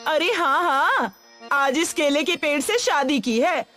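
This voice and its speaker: A sarcastic village gossip, acted by a woman, mocks in a high voice. The cartoon storybook delivery has faint music behind it. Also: expressive, slow pace, bright, forceful tone, heavily acted. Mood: mocking.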